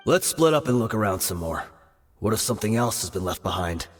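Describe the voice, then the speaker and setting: A man voices a firm, protective mercenary leader in a video game. His voice has mid pitch and a long reverb. Soft music runs behind. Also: expressive, fairly quick, natural voice.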